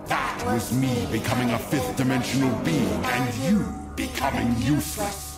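Gravelly, echoing alien conqueror. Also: male voice, medium pitch, lively intonation, moderate pace. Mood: gloating. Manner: pompous, gloating villain proclamations. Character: menacing, arrogant alien starfish conqueror bent on ruling the universe. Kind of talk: animated video game cutscene acting. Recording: echoing voice under loud music.

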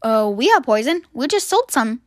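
Woman acting a pushy, animated shop seller. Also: a little high, moderate pace, no echo, studio quality, voice pushed down. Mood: matter-of-fact. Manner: eager sales patter.

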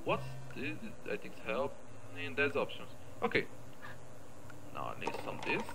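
A man commentates casually on a game he is playing, with a heavily creaky, gravelly voice. His pitch moves around in a lively way, yet he talks slowly and haltingly, thinking aloud in fragments. Music plays prominently behind him. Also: rough recording. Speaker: gamer narrating own playthrough.

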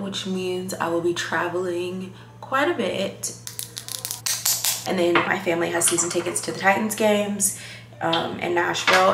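A woman's voice: lowish voice, creaky. A young woman in her twenties chatting upbeat to the camera while she gets ready at home. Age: 20s. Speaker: lifestyle vlogger. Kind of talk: casual vlog chat to camera. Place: apartment kitchen and bathroom. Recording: echoey room with background noise.

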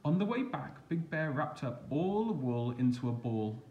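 A man reading a children's storybook aloud as a teacher would, expressive and deliberate, stressing the action words. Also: medium pitch, highs cut off, a little hiss or hum.

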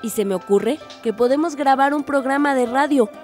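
An actress playing an enthusiastic young activist daughter in a radio soap opera. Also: female voice, high voice, moderate pace, darkish tone, faint music behind, lightly acted.

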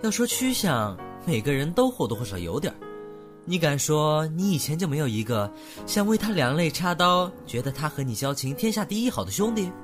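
A man's voice: fairly high pitch, sing-song, a little fast, music in the background. A man acting an unflappable, blunt young man who counsels and teases a friend in a Mandarin radio drama, matter-of-fact in manner. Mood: earnest, advising. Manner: unfazed, matter-of-fact, teasing.

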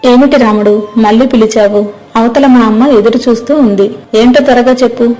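A woman's voice: fairly high pitch, fairly even intonation, moderate pace, mellow, soft background music, natural voice, low-quality recording. A woman playing a friendly, earnest young mermaid who admires her friend in an acted moral story. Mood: hurried.

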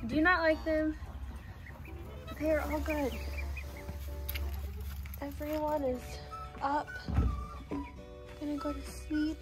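A woman in her twenties chatting informally to the camera in a casual farm vlog, doting and quick to laugh. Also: sits high, little pitch movement, over music. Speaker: farm vlogger. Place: wooden barn and farmyard. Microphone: phone at arm's length.

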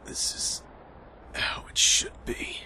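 A man playing a gruff, protective young man in an anime, breathy and halting as if dying. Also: bright, forceful tone, whispered. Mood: fading.

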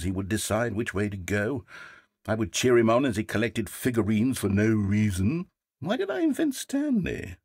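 An actor playing a game's wistful, self-absorbed male narrator in a British accent, with a slightly husky, wry and theatrical delivery. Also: medium pitch, no echo, studio quality. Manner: wry, theatrical, swinging between wistful and sarcastic. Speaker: game narrator. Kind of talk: scripted video game narration.

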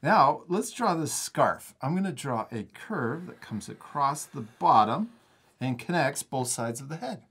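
A man's voice: mid-range voice, very animated, unhurried, fairly bright tone, breathy edge. A man hosting a step-by-step drawing lesson for children in a casual, encouraging manner. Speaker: art teacher and host.

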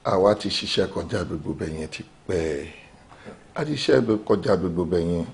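A middle-aged Nigerian actor playing a smug politician who plots to buy off opponents. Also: male voice, low voice, lively intonation, brisk, vocal fry, a little mellow, slight background noise. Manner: smug, conspiratorial. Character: scheming politician who buys off rivals.

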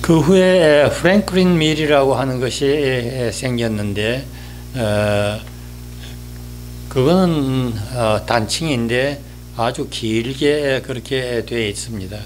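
Male columnist, 80s, mid-pitched, very slow and halting delivery of a reflective spoken column. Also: soft background music. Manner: halting, hesitant, with frequent drawn-out fillers. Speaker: newspaper-style columnist giving a talk. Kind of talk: reminiscing monologue column. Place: indoors, small office or studio, seated.

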